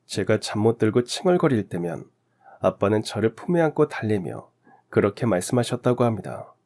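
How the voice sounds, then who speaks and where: A man's voice: fairly low pitch, sing-song, normal speed, darkish tone. A man narrating a scripted first-person life story as a radio story narrator.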